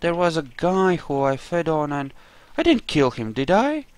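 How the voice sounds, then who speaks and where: A man's voice: fairly high pitch, big pitch swings, normal speed, clear, resonant tone, no echo. A man giving casual game commentary, chatty and thinking aloud as he plays. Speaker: gamer narrating a playthrough.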